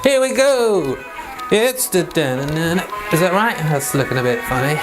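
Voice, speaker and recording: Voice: medium pitch, expressive, slow pace, loud music behind the voice, poor audio. A vlog host with a playful, self-deprecating, informal way of talking, moving between casual chat and reading aloud. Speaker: host of a Bible read-along vlog. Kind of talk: casual rambling chat, then scripture reading.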